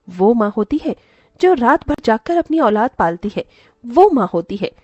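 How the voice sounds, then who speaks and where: A loving, protective aunt in a moral story, acted by a woman, speaks in a high and very expressive voice. She is earnest and emphatic, with motherly feeling. The sound is dry and a little dull. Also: no room sound. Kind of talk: dramatised moral story voice acting.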